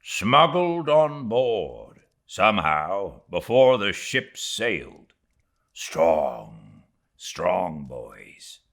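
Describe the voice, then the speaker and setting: A man as a stern, low, hushed first mate. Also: big pitch swings, very slow, exaggerated voice. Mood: terse, urgent. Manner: urgent hushed asides to crew between plain speech. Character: stern, earnest whaling first mate, flint-faced and dutiful. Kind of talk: audiobook character acting.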